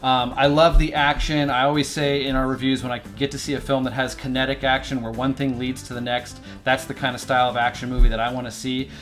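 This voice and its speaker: A man's voice: mid-range voice, level tone, fairly bright tone, faint music behind. A man in his forties co-hosting a movie review, rambling with many fillers and restarts. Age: 40s. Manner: rambling, halting, filler-heavy. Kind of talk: casual chat.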